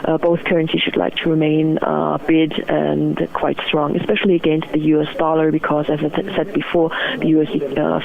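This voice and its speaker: A woman giving methodical, explanatory market analysis as an interview guest over the phone. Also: on the low side. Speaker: currency strategist, interview guest. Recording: muffled narrow phone line.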